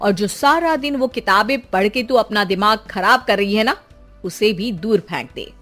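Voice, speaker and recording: A woman's voice: medium pitch, lively intonation, moderate pace, pushed and bright, faint music behind. A woman voicing a haughty, domineering middle-aged mother-in-law in a melodramatic moral story. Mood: scornful, commanding. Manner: domineering, sneering.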